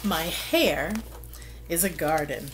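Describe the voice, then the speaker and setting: A middle-aged woman reading a children's picture book aloud, with expressive, deliberate storytelling and drawn-out phrases. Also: low-pitched, slight background noise. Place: small plain room indoors.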